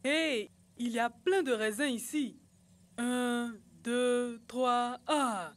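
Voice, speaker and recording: A woman's voice: on the high side, steady tone, slow. A woman voicing an eager, upbeat child in a French-dubbed educational cartoon. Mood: counting, bright.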